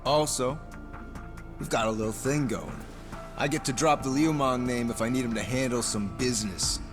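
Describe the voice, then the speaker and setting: A man playing a cocky, boastful high school delinquent with a brash manner, in a video game cutscene. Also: normal speed, lightly acted. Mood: smug, boastful. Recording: music behind the voice.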